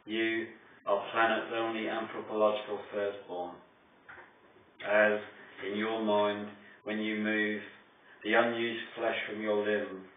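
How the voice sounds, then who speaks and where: A man gives a solemn poetry reading in deliberate, declamatory phrases broken by dramatic pauses. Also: lowish voice, slight background noise. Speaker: poet reading own work. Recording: distant, very echoey, thin phone-like sound.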